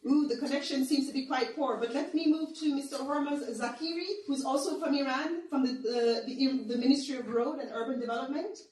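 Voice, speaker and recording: A woman with a high voice hosts an online panel in measured, halting phrases. She sounds distant from the microphone, and the room echoes heavily around her words. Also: darkish tone. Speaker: moderator of a panel webinar. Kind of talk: hosting a formal online panel discussion. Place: indoor room on an online call.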